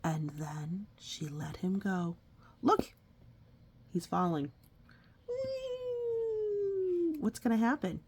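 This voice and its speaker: A woman reading a picture book aloud to a young child, expressive and coaxing, often dropping into a breathy whisper and adding chatty asides. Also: a little high, extremely slow, long pauses, slight background noise. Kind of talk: storybook read-aloud with conversational asides to a child.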